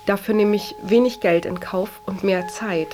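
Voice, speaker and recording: A woman with a medium-pitched voice talks informally in an interview. Guitar music plays clearly behind her words. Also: fairly even intonation, normal speed. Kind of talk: spontaneous interview talk.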